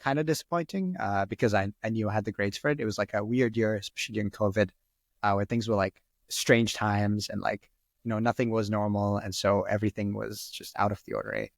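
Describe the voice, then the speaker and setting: A man's voice: lowish voice, fairly even intonation, fairly quick, vocal fry, dry recording, studio quality. A man in his twenties, a first-language Arabic speaker, answering podcast questions in a rambling, casual way full of "like" and "um.". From Sri Lanka. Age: 20s. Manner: rambling, heavy use of "like" and "um". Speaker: guest.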